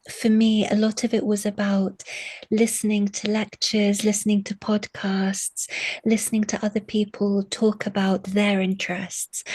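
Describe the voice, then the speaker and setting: Woman academic guest, husky, pretty flat delivery, lots of um and restarts, dry sound. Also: medium pitch, no echo. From family from Syria. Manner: thoughtful, hesitant, many restarts. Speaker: guest, literature lecturer. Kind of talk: academic interview.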